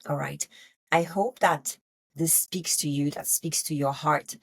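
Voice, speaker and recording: A woman with a French accent speaks in a low, raspy voice with plenty of fry. She coaches the listener in an intimate solo podcast style, candid and emphatic, encouraging as she goes. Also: fairly even intonation, unhurried, no echo. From France. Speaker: wellness podcast host and coach.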